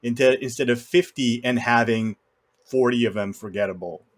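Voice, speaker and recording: A man with a mid-pitched voice co-hosts a casual podcast about films. He speaks bluntly, grumbling with animation and dropping the occasional mild swear. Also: normal speed. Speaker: podcast co-host. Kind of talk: casual film discussion.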